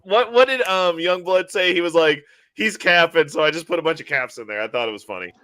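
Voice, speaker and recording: A man in his thirties on a podcast panel, reacting with quick comments and laughs. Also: on the high side, lively intonation, bright, forceful tone, no room sound. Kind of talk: casual banter.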